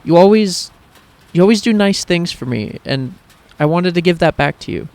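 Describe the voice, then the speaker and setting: A man voicing an earnest, awkward young woman in love for a fan fiction story, speaking in halting, emotional phrases. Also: high-pitched, animated, a little mellow, pitched above the performer's own voice. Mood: frustrated, earnest.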